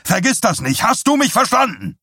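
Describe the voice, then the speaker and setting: A man reading an audiobook, playing a fed-up, angry husband who scolds and barks forcefully. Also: mid-range voice, expressive, moderate pace, no echo, studio quality. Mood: furious. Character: enraged limping husband, fed up with wife's zealotry. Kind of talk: dramatised audiobook dialogue.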